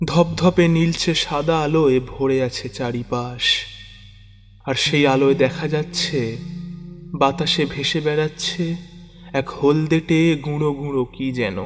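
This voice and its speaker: A man narrating a first-person horror story theatrically, with halting, suspenseful pauses. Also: on the high side, fairly even intonation. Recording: long reverb, music bed underneath. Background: background music.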